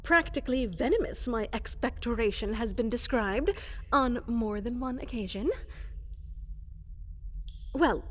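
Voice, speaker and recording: A woman's voice: fairly high pitch, a little slow, pauses often. A woman voicing a small, fluffy, eager monster girl in a fantasy comedy roleplay, stammering, apologetic and overly formal. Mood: earnest, nervous. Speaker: applicant for evil advisor post. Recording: reverberant large hall.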